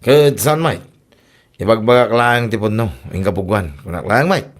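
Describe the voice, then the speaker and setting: A man's voice: fairly low pitch, lively intonation, moderate pace, lightly acted. A man plays a ne'er-do-well gambling husband from a comedy radio drama. He mumbles sheepishly and keeps sliding into evasive excuses.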